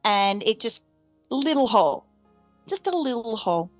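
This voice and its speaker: A woman's voice: fairly high pitch, big pitch swings, normal speed, dry recording, soft background music. A woman playing a tabletop roleplaying game, chatting casually and thinking aloud hesitantly.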